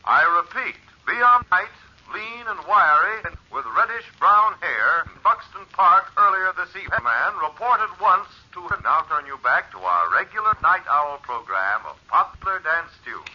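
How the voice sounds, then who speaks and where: An actor playing a formal, declamatory announcer reading a police bulletin through a radio speaker in a vintage drama. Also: male voice, sits high, sing-song, normal speed. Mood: urgent, official. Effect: radio speaker filter. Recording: dull, narrow old radio sound.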